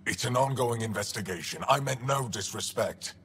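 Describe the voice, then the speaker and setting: An actor playing a stern, guarded alien resistance commander in a video game, gruff and curt. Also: male voice, mid-range voice, normal speed, a bit bright, a little hiss or hum, lightly acted. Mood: defensive. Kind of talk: dramatic video game acting. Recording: long echoing reverb.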